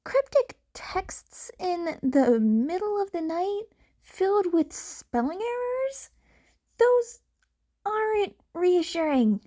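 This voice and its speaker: Woman voicing an anxious teenage girl, high-pitched and earnest. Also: sing-song, takes it slowly, exaggerated voice. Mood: worried, scolding. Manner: tense, earnest, gently scolding. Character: anxious, caring teenage girl friend on the phone.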